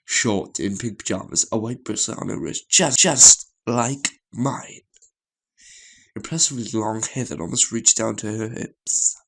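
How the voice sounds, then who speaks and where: A man reading a visual novel story aloud as a gaming host, in a halting way with stumbles and repeated phrases. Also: on the low side, gravelly, fairly bright tone, husky, poor audio.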